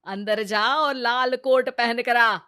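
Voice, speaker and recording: A woman voicing a sharp-tongued, nagging mother-in-law in a Hindi cartoon story, theatrical and taunting. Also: fairly high pitch, steady tone, takes it slowly, clear, resonant tone. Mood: sarcastic scolding.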